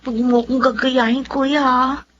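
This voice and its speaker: A woman's voice: sits high, level tone, moderate pace, a bit bright. A woman acting a weary, anxious teenage single mother in a Tagalog radio drama. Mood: earnest. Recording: dull lo-fi audio, faint background noise.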